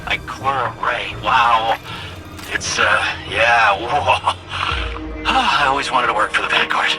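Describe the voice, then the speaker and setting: An actor playing a nervous, eager-to-please defector robot in a game cutscene, chatty, evasive and stammering. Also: male voice, medium pitch, slow pace, close to an everyday voice, rough recording. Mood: awed. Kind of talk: video game cutscene acting. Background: loud background music.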